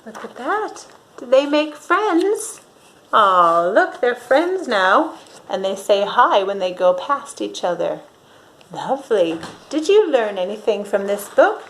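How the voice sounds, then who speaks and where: A woman reading a storybook aloud to young children in an expressive, dramatic way, pausing to ask her listeners questions. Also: fairly high pitch, poor audio.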